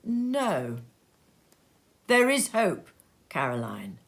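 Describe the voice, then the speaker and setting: A careworn young husband from a storybook, acted out by a woman reader. He speaks slowly and earnestly, with hesitation and a quiet, weary relief. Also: lowish voice, wildly swooping pitch, creaky, exaggerated voice. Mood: gently hopeful.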